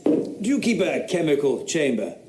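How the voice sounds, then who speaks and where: A wry, mocking philosopher. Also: male voice, fairly high pitch, sing-song, normal speed, reverberant, background noise. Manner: dry, teasing, sardonic. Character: witty, mocking man, a London philosopher. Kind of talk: radio drama acting.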